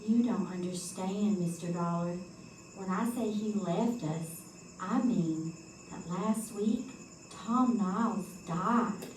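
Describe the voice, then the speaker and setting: A woman's voice: mid-range voice, slow pace, a little mellow, lightly acted. A woman playing a plain-spoken small-town landlady in old-time radio drama style, with folksy, rustic phrasing. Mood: folksy, solemn. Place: live stage performance. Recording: roomy live stage, distant mic, faint noise.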